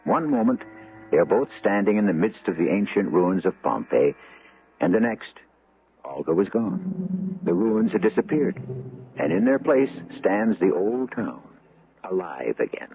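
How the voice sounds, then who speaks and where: A man with an American accent narrating a radio mystery drama in a deliberate, portentous way. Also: on the low side, huge pitch swings, vocal fry, phone-quality sound, slight background noise, heavily acted. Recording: band-limited old radio broadcast, music underneath.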